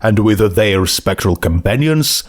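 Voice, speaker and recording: A man narrating a scripted story in a measured, deliberate storytelling manner. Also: on the low side, animated. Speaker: fan fiction audiobook narrator.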